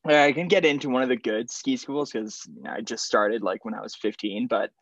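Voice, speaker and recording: A man's voice: mid-range voice, brisk, creaky, no echo, muffled recording. A young man from Switzerland whose first language is French, talking casually and rambling as a podcast guest, with lots of fillers. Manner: relaxed, rambling with many fillers. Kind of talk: casual conversation.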